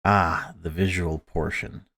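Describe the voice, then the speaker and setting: Low-voiced man narrating a visual novel. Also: fairly even intonation, slow, fairly bright tone, soft background music. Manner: informal, sweary asides. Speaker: let's-player.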